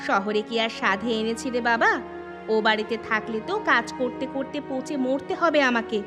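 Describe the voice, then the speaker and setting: A woman voicing a sharp-tongued, touchy young housewife and mother in a cartoon, nagging and quick to retort. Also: fairly high pitch, over music, close to an everyday voice. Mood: coaxing, exasperated. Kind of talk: Bengali cartoon story acting.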